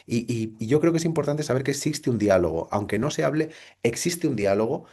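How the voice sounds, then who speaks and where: A man's voice: mid-range voice, lively intonation, brisk, dark tone, slightly rough. A middle-aged man from Spain with a Spanish accent, giving a reflective recorded lecture to a computer, full of rhetorical questions and restarts. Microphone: computer mic.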